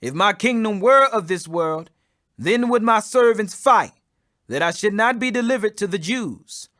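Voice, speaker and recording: Guy doing a resolute bible teacher facing accusers, high bright voice, firm n declamatory. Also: expressive, a little slow, no echo, clean studio sound, exaggerated voice. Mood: solemn, declaring.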